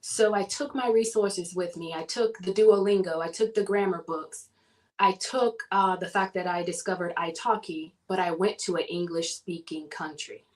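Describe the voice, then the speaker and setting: A woman from the southern United States, a guest in a casual interview, telling her story in an animated way and laughing often. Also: fairly low pitch, moderate pace, rough recording.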